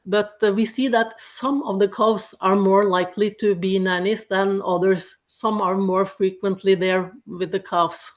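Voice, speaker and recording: A woman from Norway with a Norwegian accent, a dairy farmer presenting in a webinar in halting, friendly English, often breaking into small laughs. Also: medium pitch, steady tone. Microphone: video call.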